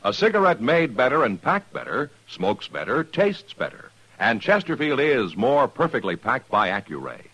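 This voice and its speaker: Animated American male radio announcer. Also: mid-range voice, husky, no room sound, poor audio. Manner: polished, emphatic. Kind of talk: 1950s radio announcing and ad reading. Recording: vintage radio broadcast. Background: music.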